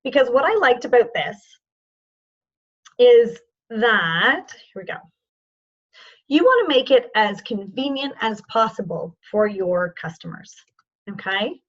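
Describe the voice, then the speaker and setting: A woman training sales representatives in an online session, informal and encouraging, with a voice that is going hoarse. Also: fairly high pitch, sing-song, a little slow, pauses often, highs cut off. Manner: informal and encouraging, checks in with "okay?". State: losing her voice, hoarse.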